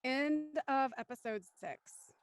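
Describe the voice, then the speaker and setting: A woman's voice: high-pitched, slow, vocal fry, slight background noise. A woman narrating scripted stage directions for a live drama reading.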